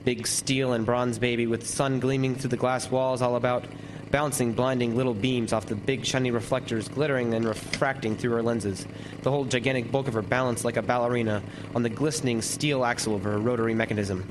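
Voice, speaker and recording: A man's voice: mid-range voice, fairly even intonation, background noise, natural voice. Man acting a vivid storytelling lighthouse keeper. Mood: brooding, vivid. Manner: vivid, deliberate storytelling with lingering descriptions. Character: lighthouse keeper recounting a frightful night. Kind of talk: dramatic first-person narration, old-time radio horror.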